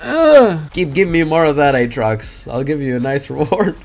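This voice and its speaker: A young man casually commentating his own gameplay on a headset mic, swinging into loud, swearing outbursts. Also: on the high side, animated, moderate pace. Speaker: game streamer. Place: small room indoors.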